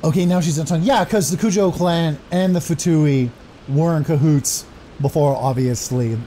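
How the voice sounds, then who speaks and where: A man's voice: on the high side, normal speed, warm tone, slight background noise. A man around his thirties giving casual, musing commentary as he plays a video game. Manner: laid-back, musing. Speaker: gaming host. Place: indoor room at home. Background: game music.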